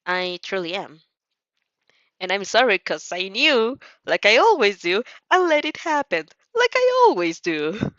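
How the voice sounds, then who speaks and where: A woman's voice: mid-range voice, very animated, measured pace, fairly bright tone, no echo, highs cut off. A woman voicing an awkward, earnest, apologetic teenage schoolgirl in a story, with hesitant, dramatic delivery. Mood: remorseful.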